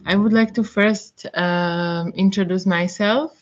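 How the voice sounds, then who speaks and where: Slow, hesitant woman lecturing on a webinar. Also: mid-range voice, fairly even intonation. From Slovakia. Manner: hesitant, many fillers. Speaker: physiotherapist and boccia classifier. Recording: dull lo-fi webinar audio.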